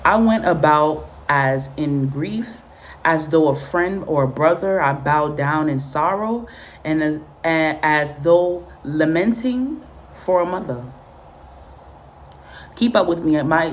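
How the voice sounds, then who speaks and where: A woman's voice: low-pitched, slow pace, a little hiss or hum. A woman from Guatemala and Honduras, Spanish her first language, giving a casual spoken reading with blunt, profane, repetitive commentary. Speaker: tarot and spiritual reader.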